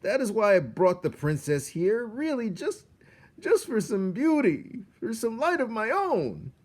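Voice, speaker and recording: A man's voice: high voice, sing-song, a little slow, voice pushed up, exaggerated voice. A man voicing a miserable, yearning rat villain in a storybook, moaning and plaintive with self-pity. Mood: despairing.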